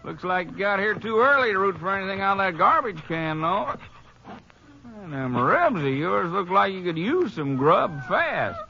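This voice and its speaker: An actor playing a friendly roadside cafe owner who coaxes a stray dog in a folksy rural drawl, in 1950s radio drama style. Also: male voice, high-pitched, big pitch swings, moderate pace, vocal fry, bright, forceful tone, exaggerated voice. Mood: kindly. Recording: muffled old radio broadcast with hiss.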